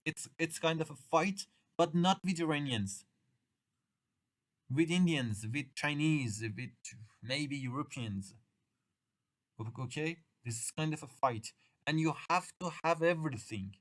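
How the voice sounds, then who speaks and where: A middle-aged man from Iran with a Persian accent, a native Persian speaker, lecturing students at an English exam workshop. He talks haltingly, restarting and repeating phrases, with uneven grammar. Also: on the high side.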